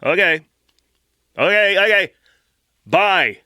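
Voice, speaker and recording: A man's voice: high voice, animated, takes it slowly, bright, forceful tone, slight background noise, full character voice. A man playing a squeamish, childish boyfriend in a comedy radio sketch, flustered and stammering as he bickers. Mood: casual griping. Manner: stammering, flustered, repeats words.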